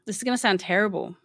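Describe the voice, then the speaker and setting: A woman's voice: mid-range voice, a bit bright, no room sound. An Australian woman in her thirties, a guest chatting casually and candidly, rambling with many restarts. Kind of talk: casual interview chat.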